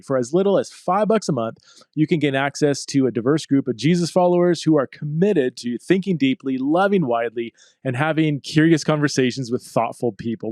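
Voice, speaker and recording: A man's voice: medium pitch, a little mellow, dry recording. Male podcast host, casual and chatty, laughs easily, dry close sound. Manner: upbeat, chatty, laughs often. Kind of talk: casual interview chat.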